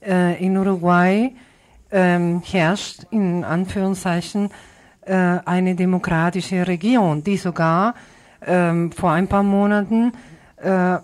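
A woman's voice: fairly low pitch, steady tone, takes it slowly, a little mellow. A woman hosting a conversational political radio talk, searching for words and adding little question tags. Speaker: radio interviewer and co-host.

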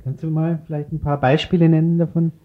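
A man with a fairly high voice presents as a radio interviewer. He reads scripted passages and puts informal questions, pausing now and then as he searches for wording. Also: level tone, phone-quality sound. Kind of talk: news interview, partly scripted and read.

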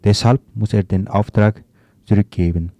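A man delivers a scripted radio commentary in a fairly low voice with a soft, dark tone. His reading is a little halting, and the sound is dry, with no room around it. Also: fairly even intonation, no echo, highs cut off. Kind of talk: scripted political commentary, read aloud.

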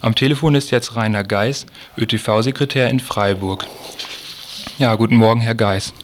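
A man's voice: medium pitch, normal speed, slight background noise. A man presenting a scripted political report and interview on the radio.

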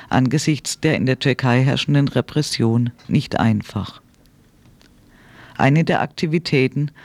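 A man's voice: medium pitch, darkish tone, no echo. A man reads a scripted radio report in a sober, formal manner. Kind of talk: scripted news narration.

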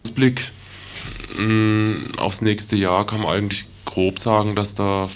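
A man's voice: fairly low pitch, level tone, slow pace. A man speaking casually and off the cuff, hesitant and loosely phrased. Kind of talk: casual spoken remarks.

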